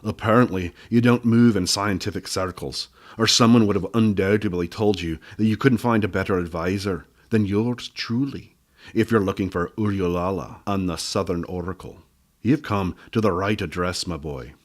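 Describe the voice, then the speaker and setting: A boastful little gnome scholar, as a male reader plays him, pompous and self-important. The voice stays fairly low and full for an old storybook gnome. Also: normal speed.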